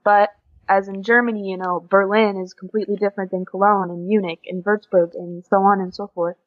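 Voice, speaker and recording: A woman's voice: medium pitch, flat delivery, brisk. A young American woman from Oklahoma chatting casually as a guest in an interview. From Oklahoma, United States. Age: young adult. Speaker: podcast guest, exchange student. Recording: dull lo-fi audio.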